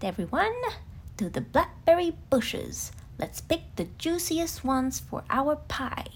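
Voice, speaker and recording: A woman narrating a children's bedtime story in an expressive, breathy way. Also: fairly high pitch, a little hiss or hum, rough recording.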